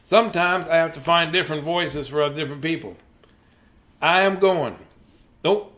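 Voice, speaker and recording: A man's voice: on the high side, normal speed, fairly bright tone. A middle-aged man chatting casually and playfully as he reads bedtime stories aloud to children. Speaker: children's bedtime story reader. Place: small cluttered room indoors.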